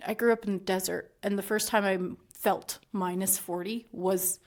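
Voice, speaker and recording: A woman's voice: mid-range voice, fairly even intonation, normal speed. Reflective woman, laughing as she talks. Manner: warm, reflective, laughs often. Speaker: podcast guest, school principal. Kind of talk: casual podcast conversation.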